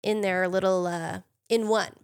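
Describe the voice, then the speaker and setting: A woman chemist hosting a casual podcast, slightly nasal from a cold, upbeat and quick to laugh. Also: medium pitch, steady tone, creaky, no echo, clean studio sound. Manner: laughs often, upbeat and chatty.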